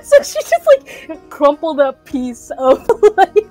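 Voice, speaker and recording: A woman's voice: sits high, sing-song, slow, dark tone, faint music behind. A woman playing a tabletop game, describing her character's moves in a casual, giggly, hesitant way. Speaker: player. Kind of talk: casual tabletop role-play chat.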